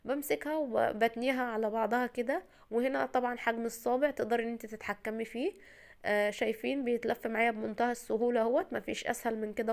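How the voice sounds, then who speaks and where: A woman's voice: fairly high pitch, darkish tone. A woman from Egypt with an Egyptian Arabic accent, her voice tired from a cold, chattily and reassuringly talking the viewer through a recipe as a home cooking host. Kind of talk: casual step-by-step cooking tutorial.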